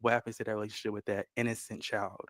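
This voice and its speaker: A man talking casually as a dating show contestant, explaining himself in a measured way. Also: fairly low pitch, flat delivery, a bit bright, no room sound, clean studio sound. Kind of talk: casual conversational interview.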